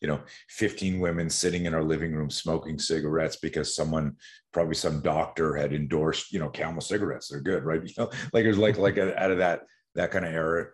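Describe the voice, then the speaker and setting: A man's voice: extremely low pitch, fairly even intonation, brisk. A man in his sixties from Canada, a podcast guest telling rambling stories with many restarts. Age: 60s. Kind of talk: casual podcast interview.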